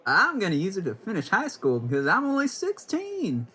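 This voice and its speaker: Male actor as eager teen soldier for radio comedy, rough husky voice, wildly animated pitch. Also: normal speed, full character voice. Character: eager underage teenage boy soldier. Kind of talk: old-time radio sketch comedy acting.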